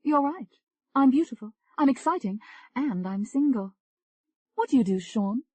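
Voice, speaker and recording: A woman voicing an eager, flirtatious Englishwoman, raising her pitch well above her own voice. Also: animated, moderate pace, no echo. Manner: bright, eager, teasing.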